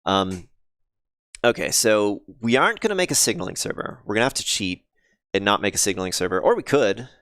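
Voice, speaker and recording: A man with a lowish, smooth voice talks casually through his game code on a live stream, pausing often and greeting viewers. His voice is a little scratchy and sniffly. The sound is close and dry. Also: sing-song, a bit bright, dry recording. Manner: thinks aloud, many ums and restarts, chats with viewers. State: slightly scratchy voice, sniffly after illness.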